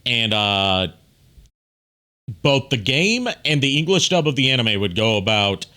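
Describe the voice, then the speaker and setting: A man's voice: mid-range voice, big pitch swings, a little slow, pushed and bright, smooth. A man giving casual, chatty commentary as a streamer, often explaining things in asides. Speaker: streamer and voice actor commenting on a fan dub he was in.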